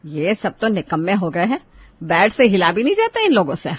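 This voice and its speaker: A woman voicing a shrewd, determined mother-in-law who speaks firmly in an animated moral story. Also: medium pitch, moderate pace, slightly rough, dry recording, highs cut off. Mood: indignant. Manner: firm, instructive.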